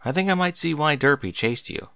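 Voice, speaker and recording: A man's voice: fairly high pitch, expressive, vocal fry, pushed and bright, clear, resonant tone, no room sound, studio quality, heavily acted. A man voicing a brash, protective male pegasus pony in a story reading, speaking bluntly. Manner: blunt, slow-spoken.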